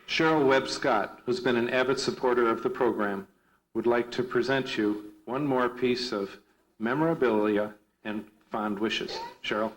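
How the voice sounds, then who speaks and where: A man's voice: mid-range voice, a little slow. A man acting as master of ceremonies, making an announcement at a ceremony. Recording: noisy, from far off.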